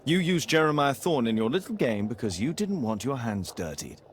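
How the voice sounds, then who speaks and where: An actor playing a sharp, stubborn detective with a British accent and formal, incisive speech in a video game cutscene. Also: male voice, medium pitch, very animated, normal speed, slight background noise, natural voice. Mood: accusing, stern. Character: sharp male detective, accusing, stubborn, secretive.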